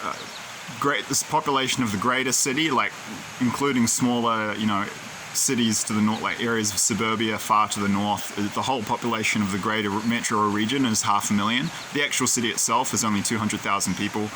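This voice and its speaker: A man's voice: on the low side, steady tone, brisk, background noise. A man talking casually to his own camera in a rambling, digressive, opinionated way. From New Zealand. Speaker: video creator. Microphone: camera he films himself with.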